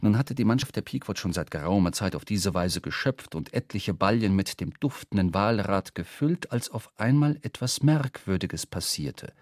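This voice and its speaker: A man narrating a literary radio drama as a storyteller, expressive and dramatic. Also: lowish voice, moderate pace, few pauses, no room sound, studio quality. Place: dry studio.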